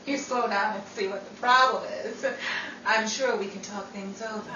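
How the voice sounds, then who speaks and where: A woman playing a breezy, quick-witted, playful radio actress who teases her friend in a 1940s-style radio comedy. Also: mid-range voice, fairly even intonation, sharp tone, distant mic, muffled recording, natural voice. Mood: playfully dramatic. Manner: playful, theatrical. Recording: noisy, echoey room, off the mic.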